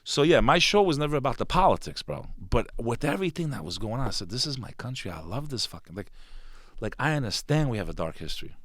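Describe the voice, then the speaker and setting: A man's voice: medium pitch, sing-song, quick pace, fairly bright tone, dry recording. A man talking as a podcast guest in a studio, intense and emphatic, swearing freely in casual conversation. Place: studio with a green screen.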